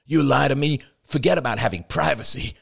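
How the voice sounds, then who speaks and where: An angry young man , acted by a male narrator in an audiobook confrontation. He is sarcastic and accusatory, with a creaky edge and big swings in pitch, speaking in deliberate, biting phrases. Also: animated, dry recording, clean studio sound, full character voice.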